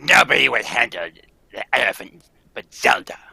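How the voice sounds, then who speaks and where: A man's voice: mid-range voice, animated, normal speed, gravelly, breathy edge, no room sound, heavily acted, low-quality recording. A man reading a defensive, snappy woman animal trainer in a live old-time radio table read. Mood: curt. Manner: defensive, snappy, hushed then shouting.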